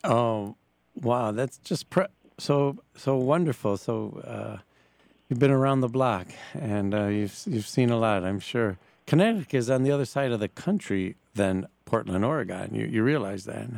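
A man hosting a live talk radio interview in a halting, stammering, casual way. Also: medium pitch, gravelly, a little mellow, dry recording. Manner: halting, hesitant, stammering delivery. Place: radio broadcast from a church.

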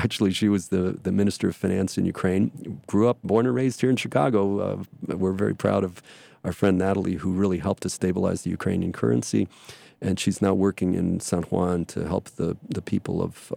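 A middle-aged man speaking for his church to listeners, rambling and filling pauses with many ums and uhs. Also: deep voice, vocal fry, mellow, no room sound. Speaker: church representative. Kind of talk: speaking to listeners, promotional talk.

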